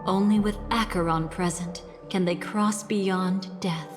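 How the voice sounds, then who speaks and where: Slow, composed, calculating game heroine played by a woman. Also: medium pitch, steady tone, over music, lightly acted. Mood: grave, pondering. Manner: measured, deliberate. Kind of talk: scripted video game voice acting.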